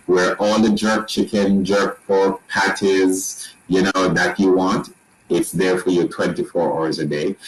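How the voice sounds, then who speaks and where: Deep-voiced Jamaican man presenting resort rooms. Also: poor audio. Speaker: resort sales representative. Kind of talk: presenting a slideshow tour.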